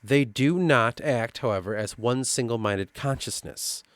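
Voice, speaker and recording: A man with a Midwestern accent reading through his own fantasy lore notes and thinking aloud, halting and restarting as he goes. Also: medium pitch, no room sound. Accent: Midwestern American. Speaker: worldbuilding writer.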